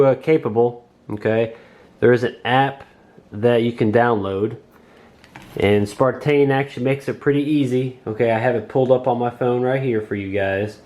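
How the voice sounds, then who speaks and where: A man's voice: medium pitch, darkish tone, roomy sound. Chatty man with a cold-tinged voice. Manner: folksy, chatty, many fillers. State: recovering from a cold. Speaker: host of a drone review channel. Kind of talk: casual product review. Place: home kitchen table.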